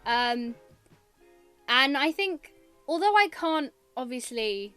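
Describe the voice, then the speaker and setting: A woman's voice: high-pitched, lively intonation, slow pace, a bit bright, clean tone, dry recording, soft background music. A young Welsh woman chatting casually on a podcast about her writing, rambling and trailing off with fillers. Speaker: podcast guest, author. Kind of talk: casual chat.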